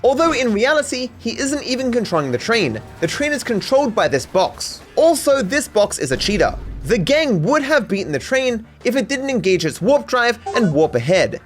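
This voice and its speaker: An Australian man reads a scripted comedic video essay in a high voice. His delivery is mock-serious and sarcastic, with very animated intonation. Faint music plays underneath. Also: normal speed, hardly any pauses. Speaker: video creator and narrator.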